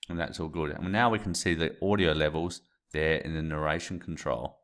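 A man's voice: extremely low pitch, fairly even intonation, normal speed, a bit bright. A man in his thirties talking through a screen-recorded tutorial step by step into a USB desk mic in a small room. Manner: plain step-by-step explaining with asides. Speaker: teacher. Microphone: USB desk mic, webcam framing.

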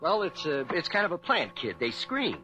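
A man's voice: on the high side, wildly swooping pitch, normal speed, sharp tone, exaggerated voice. A man playing a nervous, evasive husband in a radio drama, stammering and falsely friendly. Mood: uneasy. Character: shifty, nervous husband hiding something, evasive adult man. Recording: radio drama with music underneath.

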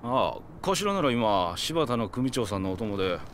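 Actor as naive young yakuza underling, wildly swooping pitch. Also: male voice, big pitch swings, moderate pace, background noise, close to an everyday voice. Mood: deferential. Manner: deferential, naive, questioning, casual junior slang. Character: slow-witted, eager young male yakuza underling. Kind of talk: video game cutscene acting.

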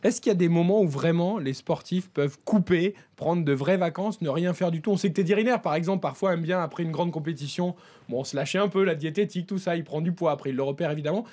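Fast, high-voiced male radio host. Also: a little mellow. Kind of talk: lively sports radio presenting. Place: radio studio with several guests. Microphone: studio desk microphone.

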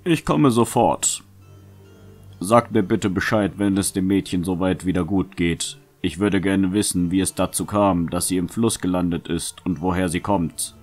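A man playing a gallant, courteous leader in a fantasy audio drama, formal and composed with quiet authority. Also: low voice, a little slow, faint music behind. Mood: calm, gallant.

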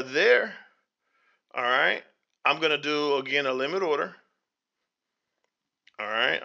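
Slightly hoarse guy, bright sharp tone, gravelly edge, talking right at viewers over a dull lo-fi recording. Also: fairly high pitch. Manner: talks straight to viewers, often asks if they hear him; drifts into preacher-like faith talk. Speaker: channel host. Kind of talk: casual screen-share tutorial. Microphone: voice-over to screen recording. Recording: dull lo-fi audio.

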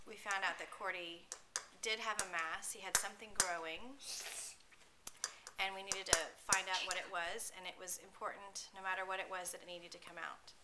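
A woman's voice: medium pitch, normal speed. A woman in her thirties giving an earnest, conversational testimonial with small restarts, filmed at home on a camera close to her face. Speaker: pet owner giving a testimonial. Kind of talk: casual spoken testimonial. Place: small room at home, indoors. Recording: noisy home video recording. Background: steady background noise.

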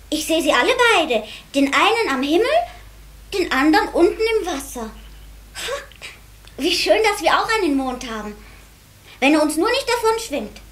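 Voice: high-pitched, sing-song, moderate pace, pauses often. An actor voicing a curious, naive little water sprite boy in a children's radio play. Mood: eager wonder. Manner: bright-eyed, naive, wondering.

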